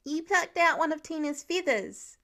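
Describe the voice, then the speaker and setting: Female narrator as little boy, earnest, righteous, fairly high pitch, storybook dialogue. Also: expressive, moderate pace, fairly bright tone. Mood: stern. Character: earnest little boy, moralizing about kindness.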